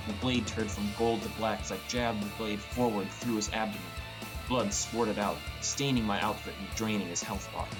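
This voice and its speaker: A man reading scripted first-person fantasy narration as an audiobook narrator. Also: fairly low pitch. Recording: music bed under voice. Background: prominent plucked guitar music.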